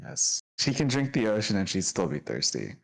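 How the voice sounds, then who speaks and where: A man's voice: fairly low pitch, huge pitch swings, normal speed, creaky, poor audio. A man acting as dungeon master, introducing the players and narrating the scene with upbeat energy. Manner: upbeat, laughing, descriptive narration.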